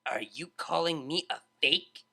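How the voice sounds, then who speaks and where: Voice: medium pitch, measured pace, gravelly, pushed and bright, husky, exaggerated voice. A narrator voicing a brash, sarcastic young female unicorn DJ who talks in a blunt, slangy way. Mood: menacing.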